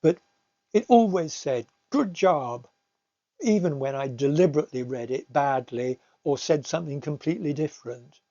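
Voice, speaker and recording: A man's voice: a little high, expressive, normal speed, warm tone, muffled recording. An older man, an English teacher, giving an informal recorded slide talk in a hesitant manner, often repeating words as he explains.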